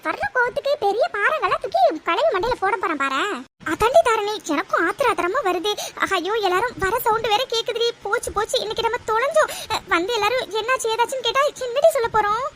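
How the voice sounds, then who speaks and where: Anxious young woman of the household acted by a woman: high voice, fretful, colloquial, noisy recording. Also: lively intonation, fast talker. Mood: irritated, worried. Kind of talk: melodramatic comedy audio drama.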